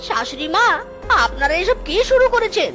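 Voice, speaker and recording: A woman voicing a whiny, excitable young male cartoon fox, full of exclamations. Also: very high voice, moderate pace, music in the background. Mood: flustered. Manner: exclamatory, repeats a catchphrase. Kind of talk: exaggerated cartoon voice acting.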